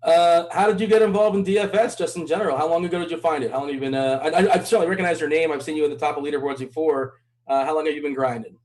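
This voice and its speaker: A man's voice: a little high, steady tone, very fast, darkish tone. A man hosting a casual interview, asking questions in an upbeat, playful way. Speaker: host.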